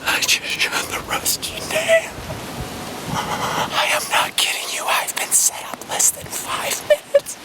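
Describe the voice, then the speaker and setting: A hunter giving hushed, breathless whispered commentary in the field. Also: takes it slowly, low-quality recording.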